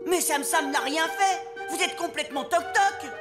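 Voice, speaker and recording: A woman's voice: extremely high pitch, moderate pace, over music. A woman voicing a small, touchy, sulky teddy bear sidekick in a children's cartoon, huffy and indignant.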